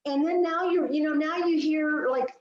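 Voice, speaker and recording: A woman co-hosting a casual discussion, chatty and quick to laugh, posing leading questions to her guest. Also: high-pitched, steady tone, a little mellow. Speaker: podcast co-host. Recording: echoey, dull-sounding audio.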